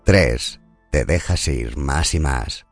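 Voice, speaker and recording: A man narrating a scripted guided meditation in a calm, soothing and unhurried manner. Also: a bass voice, vocal fry, faint music behind.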